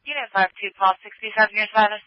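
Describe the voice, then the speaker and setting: A woman's voice: medium pitch, quick pace, no room sound. A woman working as a police dispatcher, giving clipped calls over a police radio. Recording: dull lo-fi police scanner radio.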